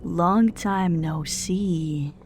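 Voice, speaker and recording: Woman playing a slow, flirtatious harpy queen. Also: lowish voice, a little mellow, a little hiss or hum. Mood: purring, sly. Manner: purring, third-person broken English. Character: flirtatious, short-tempered harpy queen with dangerous edge. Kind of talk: fan audiobook character acting. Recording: background music under the voice.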